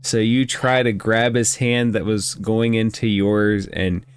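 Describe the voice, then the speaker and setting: A man's voice: lowish voice, flat delivery, takes it slowly, smooth. A man acting as game master, narrating and explaining rules in a conversational way during a tabletop role-playing game.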